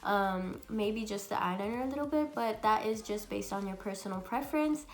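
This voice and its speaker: A young woman with a raspy, congested voice from a cold, chatting bubbly through a makeup tutorial at home. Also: medium pitch, normal speed. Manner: chatty and bubbly, many fillers. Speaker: beauty vlogger. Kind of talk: casual makeup tutorial chat.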